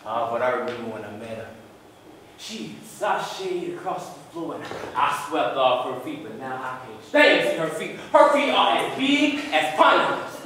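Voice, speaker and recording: A man performing a theatrical stage monologue as an emotional young father recalling a birth, with deliberate dramatic pauses. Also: fairly high pitch, very animated, fairly bright tone. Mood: exasperated, wry. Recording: very echoey room, distant mic, faint noise.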